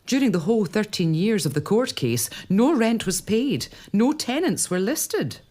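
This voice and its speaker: A woman's voice: medium pitch, big pitch swings, unhurried, mellow. An actress playing a young mother, lady of an old castle, who speaks hesitantly and apologetically in a period audio drama. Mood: grave.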